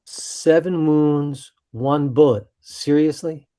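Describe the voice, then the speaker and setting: Incredulous listener, mellow tone, mid pitch, questioning, screenplay read acted. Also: slow, lightly acted. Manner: questioning, amazed. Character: incredulous audience member, easily astonished. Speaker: actor in a screenplay table read.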